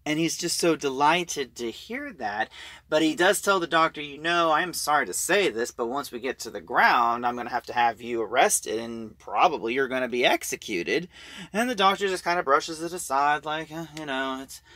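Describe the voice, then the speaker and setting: A man's voice: fairly high pitch, bright, forceful tone, no echo. A man giving a casual recap of a story as an audio drama reviewer.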